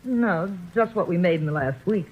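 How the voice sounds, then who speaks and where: A woman's voice: on the low side, lively intonation, normal speed, breathy edge. An actress playing a wary, anxious, streetwise young woman in a 1940s radio play, on a dull old radio recording. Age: young adult.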